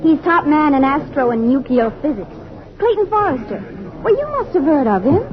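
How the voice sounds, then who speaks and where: A muffled old radio drama recording of an eager young American woman. Her voice is high, and she sounds earnest, articulate and insistent. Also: very animated, moderate pace. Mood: eager, admiring. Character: eager, assertive young educated woman, openly admiring. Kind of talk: mid-century American radio drama acting.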